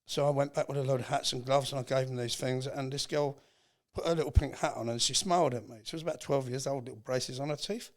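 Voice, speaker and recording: A man's voice: medium pitch, steady tone, fast, few pauses. English man telling a heartfelt personal story. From England. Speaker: podcast guest.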